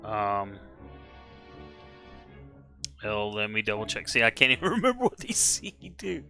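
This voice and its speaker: Streamer, male, low voice with a bright edge, slightly hoarse, chatty and self-correcting, faint music underneath. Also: big pitch swings, measured pace. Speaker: game streamer. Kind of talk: casual live-stream commentary.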